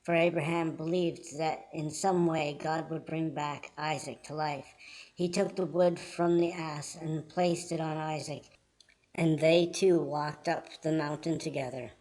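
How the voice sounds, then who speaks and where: A man's voice: fairly high pitch, steady tone, a little slow, a little mellow, rough recording. Man reading a Bible story. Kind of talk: scripted storybook narration.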